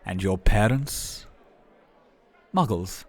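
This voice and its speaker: A man's voice: lowish voice, a little mellow, heavily acted. A man playing a silky, condescending aristocratic wizard villain from fantasy film, sneering and drawing out his words. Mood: sneering, menacing. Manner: drawn-out, sneering.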